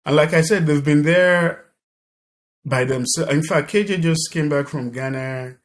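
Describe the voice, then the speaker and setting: A man's voice: a little high, measured pace. A middle-aged man with a Nigerian accent, talking as a guest in a conversational interview and often repeating and restarting his words. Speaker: podcast guest.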